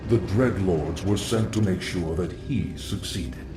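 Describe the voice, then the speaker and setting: An actor playing a solemn undead lich sorcerer in a video game, speaking gravely and formally with a hollow voice. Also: male voice, deep voice, measured pace, very noisy. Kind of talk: scripted video game cutscene acting. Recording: echoing voice over game music.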